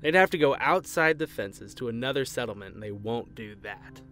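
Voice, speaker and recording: A man playing an easygoing but excitable, tech-savvy lab assistant in an audio drama. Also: medium pitch, moderate pace, music in the background, lightly acted. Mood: relaxed, curious.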